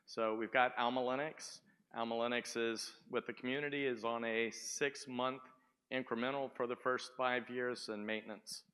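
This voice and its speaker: A man giving a sales presentation at a tech conference, booming and often asking the audience questions. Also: steady tone, moderate pace, pushed and bright, smooth. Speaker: conference speaker. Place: conference room. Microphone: speaking without the microphone at first.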